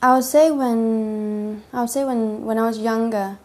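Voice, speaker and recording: A woman's voice: fairly high pitch, steady tone, unhurried, a little mellow. Hesitant woman telling her story. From Denmark. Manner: hesitant, many fillers and restarts. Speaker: interviewee. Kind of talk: personal testimony interview.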